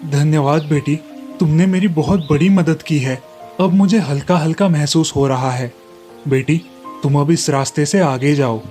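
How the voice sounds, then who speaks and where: A man's voice: a little high, normal speed, dark tone, faint music behind, close to an everyday voice. A man voicing a weary, grateful apple tree in a fairy tale, kindly, fatherly and pleading.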